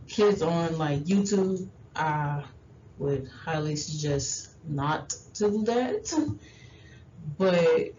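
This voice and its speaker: A woman's voice: low-pitched, a little mellow. A woman whose first language is Haitian Creole, giving parenting advice in an informal presentation, rambling with lots of fillers and restarts. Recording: dull lo-fi recording with faint noise.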